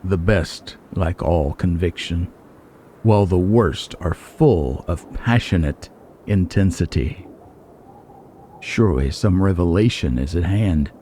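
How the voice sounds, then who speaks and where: A solemn reciter played by a man delivers apocalyptic verse in a low, grave voice. The phrases are drawn out and theatrical, heavy with foreboding. There is some background noise. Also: slow pace, warm tone. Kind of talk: dramatic poetry reading.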